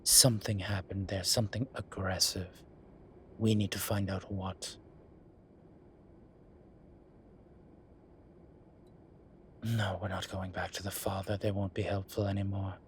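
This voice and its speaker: A man playing a weary, wry incubus professor in an intimate audio roleplay, speaking in measured, drawn-out phrases. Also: sits low, little pitch movement, a little hiss or hum, lightly acted. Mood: pensive, grave. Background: ambient sound effects.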